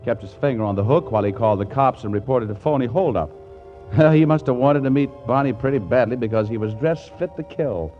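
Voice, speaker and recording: A man's voice: medium pitch, a little fast, soft background music, natural voice. An actor playing an earnest, put-upon young man in 1940s radio comedy, wry and exasperated. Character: earnest, put-upon young male writer telling his troubles. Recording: dull 1940s radio recording.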